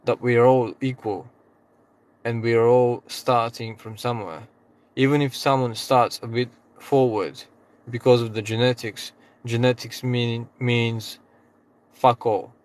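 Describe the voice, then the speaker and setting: Rambling non-native English-speaking man. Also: on the low side. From outside the UK. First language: not English. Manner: rambling, self-correcting, swears freely. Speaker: vlogger, trading channel host. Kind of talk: casual motivational talk to camera.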